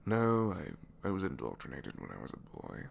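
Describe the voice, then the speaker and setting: A man's voice: sits low, wildly swooping pitch, moderate pace, creaky, exaggerated voice. A man voicing a reluctant captured supervillain, dry, sheepish and complaining, in a hesitant, rambling way, for an intimate ASMR roleplay. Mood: confiding, sheepish. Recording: faint background noise.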